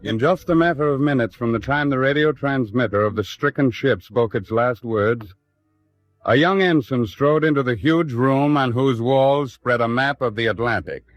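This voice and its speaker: A man's voice: medium pitch, normal speed, no echo, soft background music, low-quality recording. A man delivering solemn, rhetorical narration in the dramatic style of an old-time radio documentary drama.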